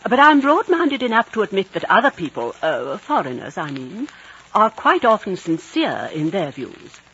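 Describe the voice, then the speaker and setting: An actress playing a prim, blunt, opinionated spinster missionary in a 1950s radio drama. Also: female voice, medium pitch, huge pitch swings, moderate pace, a bit bright, slightly rough, full character voice. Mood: smug, disapproving. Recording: dull, noisy 1950s radio recording.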